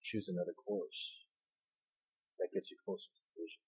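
A man's voice: normal speed, low-quality recording. A man preaching a sermon as a pastor, talking loosely with many fillers, restarts and questions to the congregation. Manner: rambling, many fillers and repeated words, asks the audience questions.

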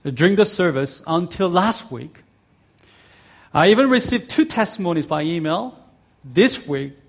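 A middle-aged man with a mix of Korean, Australian and American accents, a Korean native speaker, preaching as a guest pastor in a friendly, halting way with many fillers. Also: high-pitched, animated. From South Korea. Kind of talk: sermon.

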